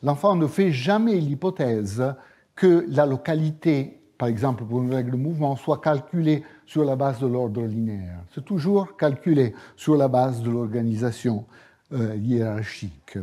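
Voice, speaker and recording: A male professor whose first language is Italian, giving a university lecture at a measured pace with frequent hesitations and fillers. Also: mid-range voice, mellow, slightly rough. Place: lecture hall.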